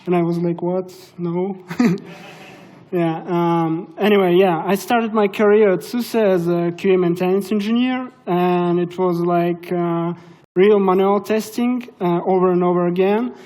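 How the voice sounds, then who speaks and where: A man's voice: high voice, steady tone, measured pace, warm tone. Young man with a Russian accent, casual and hesitant, presenting at a tech conference. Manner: casual, hesitant, many fillers. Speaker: conference speaker. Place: conference hall.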